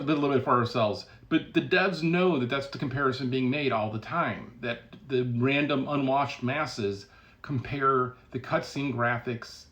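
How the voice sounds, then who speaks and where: A man's voice: medium pitch, fairly bright tone. Blunt, sardonic man talking shop. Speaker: video game developer giving commentary. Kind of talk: casual opinionated explainer.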